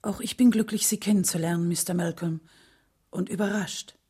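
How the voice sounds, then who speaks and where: A solemn leader's wife telling her story, radio play, soft dark husky voice, dry sound. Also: on the low side, steady tone, normal speed, dry recording. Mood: polite, reserved. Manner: solemn, restrained, clearly phrased storytelling. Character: composed, grieving wife of a civil rights leader. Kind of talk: scripted radio drama narration and dialogue.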